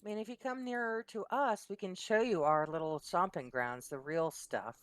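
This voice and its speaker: A woman chatting casually with her gaming group in a relaxed, teasing way. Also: on the low side, normal speed, no room sound.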